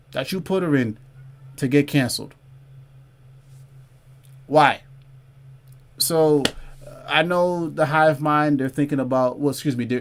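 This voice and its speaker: A man hosting a casual comics livestream, talking loosely to his chat, reading out viewer comments and repeating phrases to drive a point home. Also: on the high side. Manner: says y'all and man, asks viewers to comment below. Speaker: comic book livestream host.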